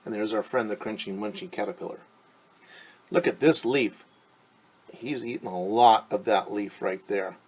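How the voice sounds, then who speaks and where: A man reading a picture book aloud as a teacher, pausing to ask children questions and explain things simply. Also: fairly low pitch, breathy edge, low-quality recording.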